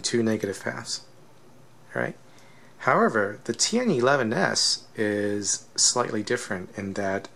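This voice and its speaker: Low, creaky-voiced man explaining gear. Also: fairly bright tone, slight background noise. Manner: explanatory, often checks with "right?". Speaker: product reviewer demonstrating flashlights. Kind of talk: casual unscripted technical demonstration.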